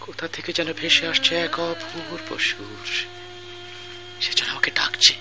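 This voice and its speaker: A man's voice: extremely high pitch, very animated, normal speed, music in the background, poor audio. An actor playing an anguished ageing master musician and doting father, in fervent, repetitive theatrical speech with a Bangladeshi accent, in a radio drama. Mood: entranced, rapturous. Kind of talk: old-fashioned Bengali radio drama acting.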